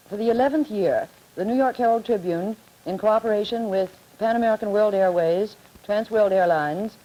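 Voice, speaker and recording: A woman moderating a 1950s television forum, asking brisk, teasing questions of student guests. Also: mid-range voice, warm tone, rough recording.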